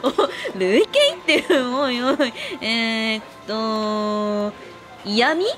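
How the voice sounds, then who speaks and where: Woman playing a brash, high-voiced tomboy. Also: drawn-out words, fairly bright tone. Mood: teasing, amused. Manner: blunt rough speech, giggly, teasing. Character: brash, teasing tomboyish young woman, bossy and playful. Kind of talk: light radio drama dialogue. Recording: music behind the voice.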